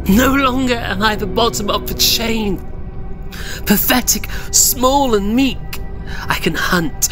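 An actor playing a mad invisible scientist in a horror audio drama, gloating, taunting and grandiose. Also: male voice, sits high. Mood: gloating, menacing. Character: mad invisible male scientist turned gloating, menacing predator. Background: music.

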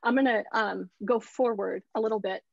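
A middle-aged woman speaking earnestly and deliberately in a presentation over a video call, repeating key words for weight. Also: a little high, steady tone, creaky, no room sound. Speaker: photographer giving a talk. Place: indoor room on a video call. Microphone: earbuds on a video call. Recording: dull, dry video-call audio.

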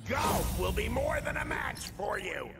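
An actor playing a small, hot-tempered male villain sorcerer in a video game, gloating and shouting insults. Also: extremely high pitch, expressive, a little slow, gravelly, over loud music, exaggerated voice. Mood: furious. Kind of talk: video game cutscene acting.

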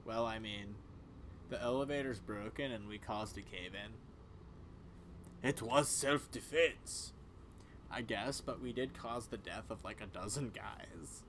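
A man reading video game dialogue aloud in a casual, expressive way. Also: lowish voice, measured pace, a little hiss or hum. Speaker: game player voicing characters.

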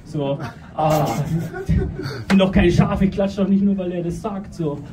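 Young comedian onstage, deadpan, lots of ums, highish voice, filmed from the crowd so it's echoey and far off. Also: male voice, unhurried. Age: young adult. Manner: deadpan delivery with hesitant fillers. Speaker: stand-up comedian. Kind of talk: stand-up comedy set. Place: small comedy club stage. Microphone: handheld mic, filmed from the audience. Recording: roomy club sound, crowd noise.

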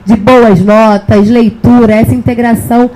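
A woman's voice: on the high side, steady tone, normal speed, mellow, poor audio. A woman moderating a panel on an indoor stage, asking questions into a handheld mic. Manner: leading questions, friendly prompts. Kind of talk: panel discussion. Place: indoor event stage with sponsor backdrop.

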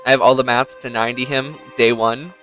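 A man's voice: mid-range voice, fairly even intonation, fairly quick, sharp tone, soft background music, poor audio. A young man giving casual reaction commentary as a game streamer.

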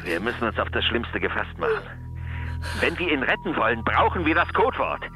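Sharp, insistent older father. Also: mid-range voice, big pitch swings, a little fast, soft background music. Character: controlling older father, urgent and calculating. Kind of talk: German radio thriller acting.

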